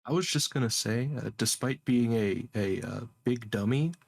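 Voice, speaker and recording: A man's voice: medium pitch, normal speed, vocal fry, no echo, clean studio sound. A man playing a tabletop game, joking around. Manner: joking, laughing. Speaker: player. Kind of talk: casual tabletop play.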